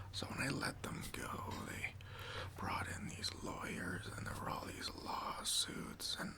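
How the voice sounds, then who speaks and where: A performer voicing a smug, self-important boss in a whispered ASMR job interview roleplay, condescending and awkwardly hesitant, with a breathy, gravelly whisper. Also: medium pitch, bright, forceful tone, full character voice. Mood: entitled, dismissive.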